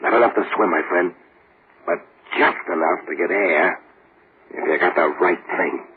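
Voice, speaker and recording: An actor playing a streetwise death-row convict who talks in tough slang, in old radio drama style. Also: male voice, sits low, normal speed, close to an everyday voice. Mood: scheming. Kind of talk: 1940s radio acting. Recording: tinny hissy old radio broadcast.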